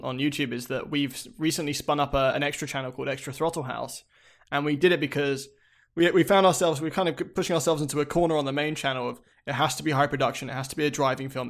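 A man, a car channel co-founder, talking in a relaxed, rambling way in a casual podcast chat. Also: a little high.

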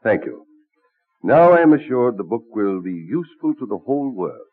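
A man's voice: medium pitch, sing-song, moderate pace. An actor playing a genial colonial statesman, courtly, witty and persuasive, in vintage radio drama style. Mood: earnest. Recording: muffled, narrow-band old radio recording.

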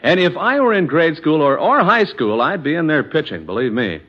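A man's voice: fairly high pitch, big pitch swings, normal speed. An American man announcing in the emphatic, folksy sales style of 1940s radio advertising. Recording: dull vintage 1940s radio recording.

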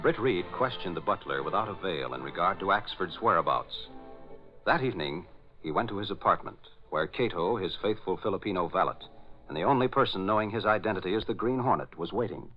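A man's voice: deep voice, lively intonation, brisk, soft background music. An American man announcing and narrating in scripted 1940s radio style. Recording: dull old radio broadcast.

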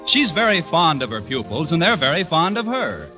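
A man's voice: fairly high pitch, sing-song, normal speed, bright, forceful tone. A man reading scripted narration as the announcer of a vintage radio show. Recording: dull old radio broadcast recording. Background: music.

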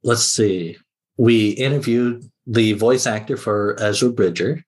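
A man's voice: fairly low pitch, measured pace, darkish tone. A man chatting casually as a guest podcaster in an interview.

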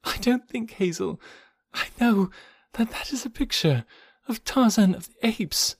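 A man voicing a grief-stricken young woman in a storybook narration, tender and dramatic, with plaintive, breathy exclamations. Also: high voice, lively intonation, a little slow, no echo, voice pushed up, exaggerated voice. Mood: anguished. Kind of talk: dramatic audiobook character voice.

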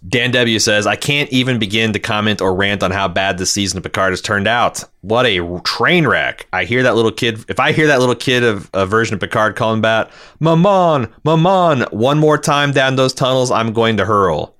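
Male podcast co-host, low-pitched but bright and punchy, casual chat and mail reading. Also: moderate pace, hardly any pauses. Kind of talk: casual chat and reading listener messages aloud.

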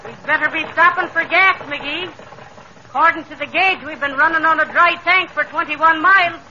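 A woman's voice: high-pitched, moderate pace, natural voice. A woman playing a bossy, teasing wife with an in 1930s radio comedy. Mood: concerned, nagging. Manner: scolding, wry. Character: bossy, teasing wife riding along in a jalopy. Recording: muffled, noisy old radio recording.

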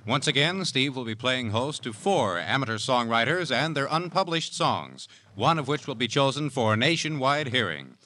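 A man's voice: medium pitch, expressive, normal speed, hardly any pauses, pushed and bright, no room sound. A man with an American accent announcing in a polished, formal 1950s network radio style.

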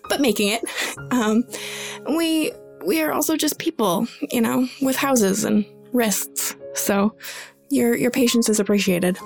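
A woman's voice: fairly high pitch, gravelly. A woman co-hosting a tabletop game podcast, talking chattily with many ums and breaking into laughs. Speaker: podcast co-host. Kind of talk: casual podcast intro and chat.

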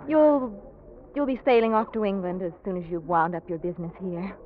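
An actress playing a warm, earnest, gently teasing young American woman in 1940s radio drama style. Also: medium pitch, a little fast, slight background noise, close to an everyday voice. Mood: tender, wistful. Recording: dull old radio recording, music behind.

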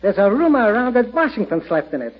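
A comic, wisecracking furniture dealer in an old radio show. His voice is extremely high for a man and full of lively swings. It sounds dull and band-limited, like a vintage broadcast. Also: fast. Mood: sardonic. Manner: wry, hucksterish, comic. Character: wisecracking, fast-dealing male furniture salesman. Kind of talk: classic radio situation comedy acting.

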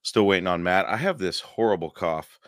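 A hoarse man hosting a paranormal podcast livestream, chatting casually and rambling with many fillers and chuckles. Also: low voice, lively intonation, normal speed, no room sound, clean studio sound. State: hoarse, losing his voice.

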